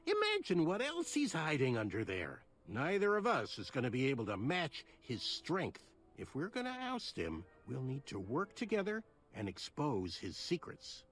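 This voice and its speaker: Husky, wildly animated little schemer. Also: male voice, medium pitch, moderate pace, few pauses, full character voice. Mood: conspiratorial, scornful. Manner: conspiratorial, theatrical. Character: sly, scheming little male gossip, fantasy contestant. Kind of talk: animated video game acting.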